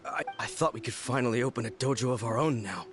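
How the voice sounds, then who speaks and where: A man's voice: medium pitch, fast, natural voice. A man playing a timid, self-doubting young swordsman in an anime game, meek and earnest. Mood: shaken, earnest. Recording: loud game music behind.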